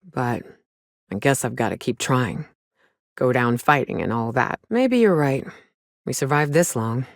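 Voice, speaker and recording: A woman's voice: a bass voice, expressive, a little slow, long pauses, no room sound, studio quality, heavily acted. A woman playing a weary, cynical but caring frontier woman in a video game, blunt and plainspoken with folksy, sweary talk. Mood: weary, doubtful. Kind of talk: video game character dialogue.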